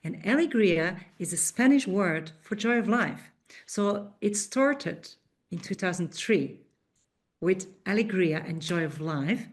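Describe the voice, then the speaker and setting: A woman's voice: medium pitch, lively intonation, a little slow. A woman in her fifties from Belgium, a coach telling her story in a podcast interview, friendly with small restarts. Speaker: guest, coach and speaker.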